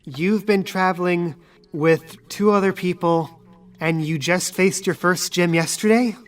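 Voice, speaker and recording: A woman's voice: on the low side, recorded from a distance, natural voice. A woman voicing a big, nervous young female traveller in a tabletop roleplay, with a hesitant manner. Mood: curious. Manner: hesitant, halting.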